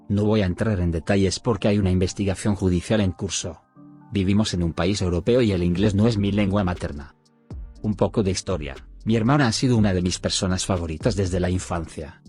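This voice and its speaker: A man with a fairly low voice reads a first-person story aloud in a steady, even way. Faint music plays underneath the narration. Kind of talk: reading a forum story aloud.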